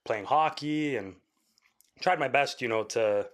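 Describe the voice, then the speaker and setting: A young man, a musician from Canada, talking casually in an interview, rambling with lots of fillers and quick laughs. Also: mid-range voice. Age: young adult. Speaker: musician guest.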